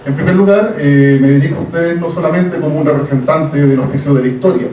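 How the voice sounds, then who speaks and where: A young Chilean man with a Chilean Spanish accent, a historian giving a formal talk from notes, measured and with frequent "eh" fillers. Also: on the high side, warm tone, a little hiss or hum. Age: young adult. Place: event hall. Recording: echoey hall, mic far off.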